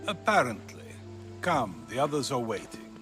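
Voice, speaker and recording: A man playing an earnest, purposeful ally in ancient Athens in a video game, speaking crisply. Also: medium pitch, sing-song, moderate pace, music in the background, lightly acted. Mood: resolute. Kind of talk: cinematic video game acting.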